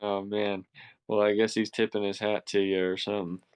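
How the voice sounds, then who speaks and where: Podcast co-host: man, smooth, fairly low voice, casual conversational delivery. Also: little pitch movement, moderate pace, darkish tone, no echo. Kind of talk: casual chat.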